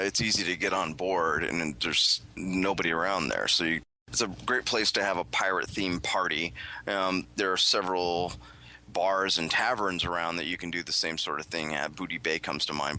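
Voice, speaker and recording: A man chatting casually on a panel, explaining things with halting pauses. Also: low-pitched, bright, forceful tone, slight background noise, rough recording. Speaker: podcast panelist.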